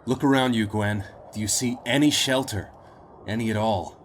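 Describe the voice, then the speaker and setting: A man playing a grave, tender, protective father in a post-apocalyptic audio drama, speaking deliberately and wearily. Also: on the low side, slight background noise, natural voice. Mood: resigned, grave. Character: weary, protective father in a ruined post-war world.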